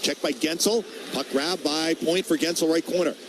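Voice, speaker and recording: A man calling live hockey play-by-play on the radio, naming each move in clipped, rapid-fire phrases. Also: a little high, background noise. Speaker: play-by-play announcer.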